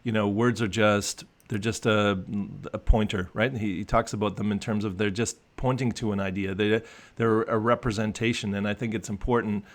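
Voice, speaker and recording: Low-voiced man, reflective coach. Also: fairly even intonation, moderate pace, hardly any pauses. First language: English. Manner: reflective, many restarts and fillers. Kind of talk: casual conversational discussion.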